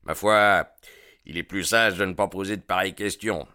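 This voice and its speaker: A man reading aloud, voicing an authoritative gang boss who gives orders in a commanding, smooth-talking way. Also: sits low, fairly even intonation, moderate pace, sharp tone, no room sound, studio quality.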